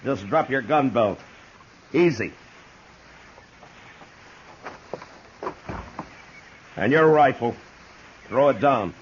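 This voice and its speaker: An actor playing a stern, steady frontier marshal in a 1950s radio western, speaking tersely and with command. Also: male voice, medium pitch, a little slow, lightly acted. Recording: dull, noisy vintage radio recording.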